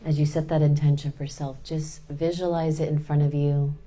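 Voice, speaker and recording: Very deep-voiced woman guiding a slow meditation. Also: flat delivery, mellow. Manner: soothing, unhurried, pausing between short phrases. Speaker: guided meditation leader.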